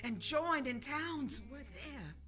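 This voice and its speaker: A woman's voice: sits high, big pitch swings, slow, a crowd in the background. A woman narrating a radio theater play in a mournful, theatrical way, drawing out her phrases. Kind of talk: dramatic scripted narration.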